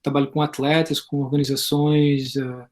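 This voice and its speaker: A friendly man in his thirties from Brazil, his voice pitched a bit high, introducing himself over a video call. He talks easily and without fuss. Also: level tone, normal speed. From Brazil, Florianópolis. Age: 30s. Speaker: guest teacher and sports psychology consultant. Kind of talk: casual self-introduction.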